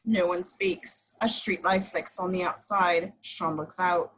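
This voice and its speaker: A woman's voice: fairly low pitch, steady tone, measured pace, fairly bright tone, poor audio. A woman reading screenplay action lines as the narrator of a table read. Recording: home recording.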